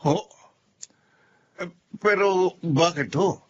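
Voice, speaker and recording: An actor playing an earnest, loyal engineer in a Filipino radio drama, polite and emotive in manner. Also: male voice, sits high, extremely slow, slightly rough, heavily acted. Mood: polite, surprised. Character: earnest wronged engineer, loyal husband saddened by betrayal. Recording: muffled radio drama recording, noticeable hiss.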